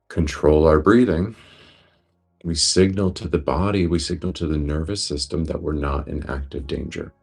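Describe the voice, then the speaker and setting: A man guiding a breathwork meditation in a gentle, unhurried, reassuring manner. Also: a bass voice. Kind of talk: guided meditation instruction.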